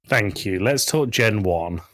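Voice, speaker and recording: A man's voice: on the low side, expressive, slow pace, fairly bright tone, no room sound. Exasperated, sweary man in dry close sound. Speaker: podcast co-host. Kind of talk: casual banter and debate.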